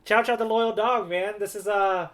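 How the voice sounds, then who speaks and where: Give a man with a slightly high voice, talking as a podcast co-host. He rambles along in casual conversation, with the enthusiasm of a fan. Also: fairly even intonation, moderate pace, fairly bright tone. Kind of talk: casual chat.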